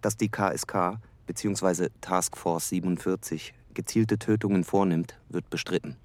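Male actor as military explainer, deep voice, detached, dry, informative narration. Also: steady tone, normal speed, no room sound, close to an everyday voice. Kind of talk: scripted documentary-like radio drama narration.

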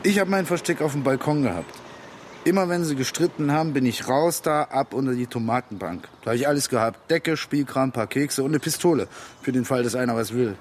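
A man's voice: medium pitch, brisk, slight background noise, natural voice. An actor playing a blunt, lonely and combative working-class man in a radio drama, speaking in short colloquial phrases. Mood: confiding, intense. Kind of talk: naturalistic radio drama dialogue.

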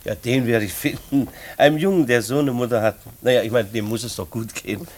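A man's voice: mid-range voice, fairly quick, lightly acted. An actor playing a kindly, bashful rural postman in a German radio play, folksy and given to stammering, evasive phrases. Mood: kindly, flustered.

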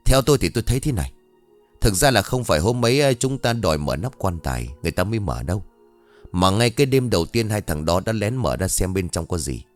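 A man voicing an older village fishmonger woman who gossips and scolds in brash, quick market talk. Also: lowish voice, animated, soft background music, natural voice. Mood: gossiping.

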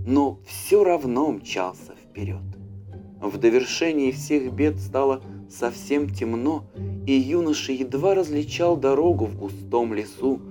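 A man narrating a fairy tale as an audiobook, with an expressive, dramatic storyteller's delivery. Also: medium pitch. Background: faint music.

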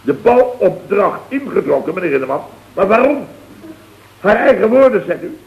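An actor playing an indignant middle-aged boss of a building firm in an old radio comedy, exclamatory and colloquial, with a Dutch accent. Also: male voice, high-pitched, big pitch swings, moderate pace, creaky, exaggerated voice. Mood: strained politeness. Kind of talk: 1970s radio comedy acting. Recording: dull, noisy old radio recording.

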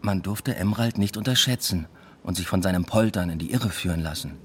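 A man's voice: low voice, a little fast, fairly bright tone, slight background noise. An actor playing a weary, introspective male academic, narrating a radio play in a dry, reflective, understated way. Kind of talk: literary first-person narration in a radio play.

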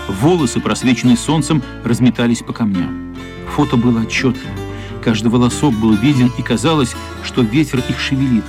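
Male narrator, mid-pitched, dramatic children's radio play storytelling, faint music underneath.

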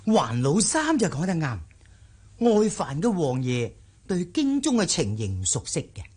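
A self-important older schemer in a Cantonese radio drama. The voice is raspy and theatrical, with drawn-out words and big pitch swings. He is callous and lecherous. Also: wildly swooping pitch, a little mellow, exaggerated voice. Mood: callous, conniving.

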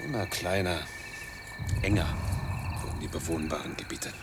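A man's voice: low voice, normal speed. An actor playing an embittered man in his forties who has fled from noise, delivering a brooding radio drama monologue in clipped, emphatic fragments. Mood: bitter, haunted. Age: middle-aged.

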